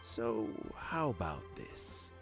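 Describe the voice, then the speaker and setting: A weary old power broker speaks in a very deep, gravelly voice. He is tired but measured, his pitch rising and falling widely, with music clearly under him in a game scene. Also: very animated, exaggerated voice. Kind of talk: video game voice acting.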